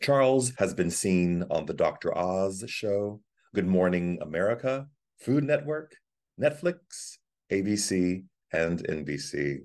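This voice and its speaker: Very deep-voiced male interview host. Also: expressive, darkish tone. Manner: conversational, halting questions with restarts. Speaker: podcast host. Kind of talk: interview hosting.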